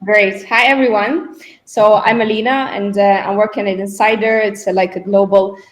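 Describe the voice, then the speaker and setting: A woman's voice: mid-range voice, steady tone, moderate pace, slight background noise, low-quality recording. A woman recruiter introducing herself on a video call, thoughtful and a little hesitant.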